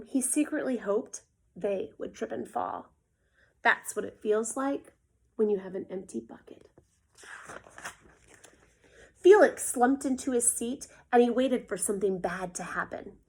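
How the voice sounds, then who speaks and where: A woman narrates a children's story with deliberate expression. She takes her time, and her somewhat high voice rises and falls widely from phrase to phrase. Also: a little mellow, slightly rough. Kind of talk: scripted children's read-aloud narration.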